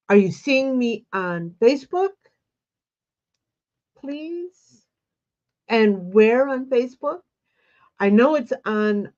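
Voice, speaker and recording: Congested woman host, slow chatty livestream. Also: high-pitched, highs cut off. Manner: chatty, greets viewers by name. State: congested with a cold. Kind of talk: casual livestream chat.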